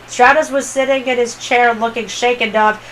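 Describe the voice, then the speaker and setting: A woman's voice: fairly high pitch, steady tone, normal speed, fairly bright tone, slight background noise, rough recording. A woman reading a story aloud as an amateur narrator, in a dramatic storytelling style. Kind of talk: dramatic fan fiction narration.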